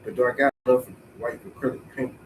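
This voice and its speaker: A man's voice: medium pitch, flat delivery, quick pace, far from the mic, a little hiss or hum, rough recording. Laid-back man rambling while painting. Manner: laid-back, rambling, thinking aloud, swears casually. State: congested and tired from a cold. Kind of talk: casual talk to himself while painting.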